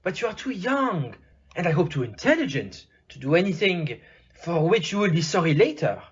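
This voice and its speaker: A muffled, lo-fi audio-play recording of an amateur actor as a shrewd, fatherly male detective with a French accent. He speaks formally and politely, his pitch swinging widely in a husky voice. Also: very animated, normal speed. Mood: concerned, fatherly. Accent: French, put on. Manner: formal, polite, theatrical phrasing. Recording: dull, muffled lo-fi.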